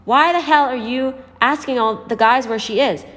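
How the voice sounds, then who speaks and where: A woman's voice: high-pitched, normal speed, fairly bright tone, pitched above the performer's own voice. Woman as a blunt streetwise young man, reverberant. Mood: agitated. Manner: blunt, warning, urgent. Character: wary, streetwise young homeless man, gruff but helpful. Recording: hall-like reverb.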